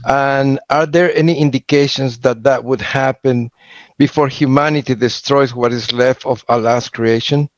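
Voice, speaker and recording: A man taking part in an online seminar, asking a question in a polite, measured way. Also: mid-range voice, steady tone, no room sound. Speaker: course participant asking a question. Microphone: video call. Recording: dry lo-fi online call audio.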